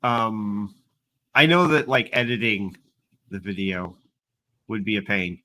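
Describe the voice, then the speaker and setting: A man chatting casually on a livestream panel, explaining things in a halting, roundabout way. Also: lowish voice, sharp tone, rough recording. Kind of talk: casual chat.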